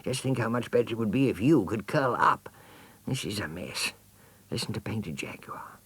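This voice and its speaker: A man's voice: on the low side, animated, normal speed, fairly bright tone, slightly rough, dry recording, pitched above the performer's own voice. A man voicing a small, fussy hedgehog in a storybook, with a polite, clipped manner. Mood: grumpy.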